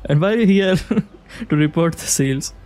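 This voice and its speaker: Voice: medium pitch, lively intonation, moderate pace, darkish tone. A streamer giving casual, giggly playthrough commentary, reacting to the characters. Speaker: streamer playing a game. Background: game music.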